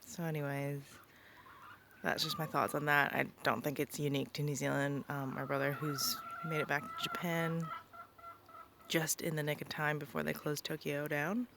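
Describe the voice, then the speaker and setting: Young female vlogger, casual and rambling, a fry-edged voice, on a noisy outdoor recording. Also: deep voice, level tone, normal speed, a bit bright. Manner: rambling, many ums and likes. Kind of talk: casual vlog talk. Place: rural property outdoors, birds around.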